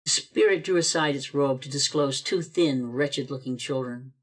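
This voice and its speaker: A man narrating an amateur radio play in a steady storytelling manner, recorded over a video call. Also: mid-range voice, moderate pace. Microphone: home video call mic.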